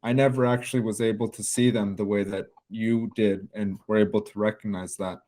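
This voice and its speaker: A man's voice: lowish voice, fairly even intonation, a little fast, dark tone. A man hosting a podcast, introducing and questioning his guest in an interview style. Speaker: podcast host.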